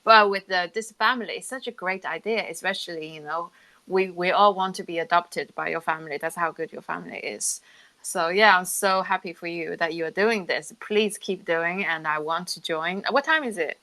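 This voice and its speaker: Bright-voiced woman chatting casually, lower register. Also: normal speed, poor audio. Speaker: music artist and co-host. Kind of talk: casual group audio chat.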